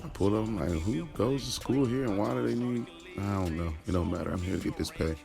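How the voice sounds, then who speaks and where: A man's voice: on the low side, gravelly, music in the background. A man playing an easygoing young driver telling his own story in casual, slangy audio drama narration. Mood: casual, storytelling. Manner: conversational, slangy, unhurried. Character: Jalen, easygoing young male driver, smitten, recounting his day.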